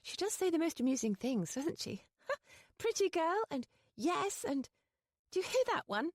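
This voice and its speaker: Brief: woman playing a flustered, earnest young lady, high and fluttery, lively swings, audiobook. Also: unhurried, no echo, clean studio sound, exaggerated voice.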